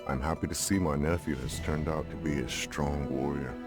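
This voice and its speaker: Very deep, dignified Lakota elder. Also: male voice, fairly even intonation, over music. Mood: solemn. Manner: grave, deliberate. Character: dignified older Lakota uncle, solemn band leader. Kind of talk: video game cutscene acting.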